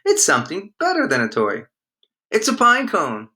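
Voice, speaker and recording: A man's voice: high voice, wildly swooping pitch, moderate pace, pushed and bright, voice pushed up, full character voice. A man reading the part of a kindly young dad in a storybook, encouraging his children as he explains things. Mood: playful.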